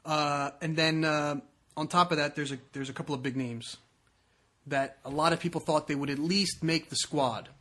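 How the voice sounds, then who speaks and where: A man's voice: fairly high pitch, fairly even intonation, normal speed, a bit bright. A man co-hosting a sports podcast, talking soccer casually and often repeating and rephrasing himself as he explains.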